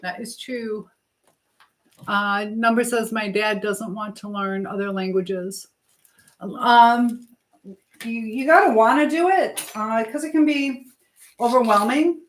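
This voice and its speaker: Chatty higher-voiced woman in an echoey room. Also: slow, pauses often. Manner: chatty, rambling, thinking aloud. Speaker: livestream host, language teacher. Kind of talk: casual live chat while cooking. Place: indoors in a home kitchen. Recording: roomy echo, clear background noise.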